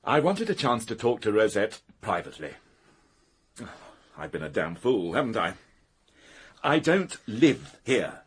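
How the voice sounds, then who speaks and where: An actor playing a defensive, self-pitying British bachelor painter in a radio mystery, theatrical and sardonic. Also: male voice, mid-range voice, a bit bright, husky.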